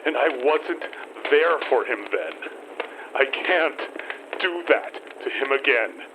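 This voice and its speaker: A man playing a guilt-ridden grieving father in an audio horror drama, halting and sombre. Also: medium pitch, expressive, noisy background. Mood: guilty, resigned.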